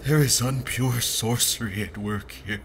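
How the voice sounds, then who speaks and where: A man playing a tormented, bewildered soul trapped in a dream realm, narrating an audio drama in a halting, brooding, often breathy way. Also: medium pitch, background noise, close to an everyday voice. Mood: troubled, awed.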